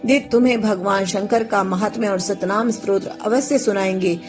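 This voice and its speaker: A woman voicing a serene, kindly male god who gently and formally instructs a devotee in a scripture story. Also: mid-range voice, steady tone, normal speed, music in the background, close to an everyday voice. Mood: consoling, instructive.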